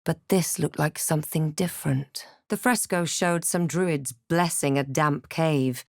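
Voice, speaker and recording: Measured, snarky fantasy adventurer woman. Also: low voice, no echo, clean studio sound. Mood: dry, observant. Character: female fantasy adventurer, snarky, observant, thoughtful. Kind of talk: scripted game character lines and narration.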